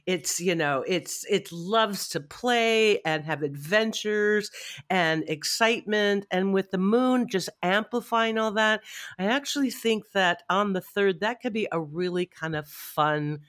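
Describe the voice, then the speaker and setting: A woman co-hosting an astrology podcast in casual chat, a bit stuffy from a head cold, upbeat and quick to laugh. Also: mid-range voice, a bit bright. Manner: chatty, upbeat, laughs often. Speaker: podcast co-host.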